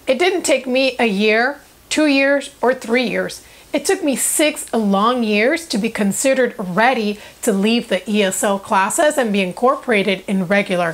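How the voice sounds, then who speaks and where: A young woman from Cuba and South Florida, a native Spanish speaker, telling a personal story to camera in an earnest, emphatic way. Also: a little high. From Cuba, later South Florida. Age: young adult. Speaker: presenter of a personal video channel. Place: small furnished room indoors.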